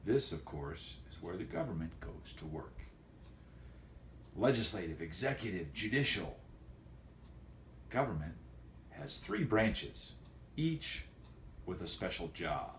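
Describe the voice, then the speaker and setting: A man speaking as a teacher and host of a homemade video for students, in a folksy, wordy, deliberate way. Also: low voice, very animated, echoey room, slight background noise. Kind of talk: casual educational vlog for students, with read-aloud.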